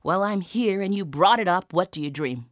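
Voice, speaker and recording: A woman's voice: lowish voice, expressive, moderate pace, no echo, clean studio sound, voice pushed up, close to an everyday voice. A woman voicing an earnest, self-doubting peasant man in a folktale, hesitant and stammering as he speaks. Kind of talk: theatrical oral storytelling.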